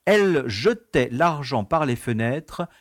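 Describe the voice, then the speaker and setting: A man's voice: mid-range voice, lively intonation, slow pace, no room sound, clean studio sound. A man playing an easygoing, genteel prison director in an audio drama, telling things in a wry, nostalgic way. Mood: wistful, amused. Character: urbane, easygoing prison director, former playboy.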